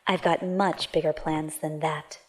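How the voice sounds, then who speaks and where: An actress playing a dry, commanding, ambitious space pirate captain in an audio drama. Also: female voice, low-pitched, steady tone, a little slow, very noisy.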